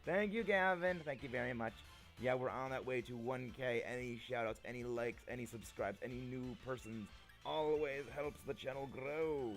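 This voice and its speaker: A young man chatting playfully with his stream viewers, a little gravelly and prone to repeating himself. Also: medium pitch, normal speed, soft background music. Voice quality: slightly gravelly. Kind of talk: casual live-stream chat with viewers.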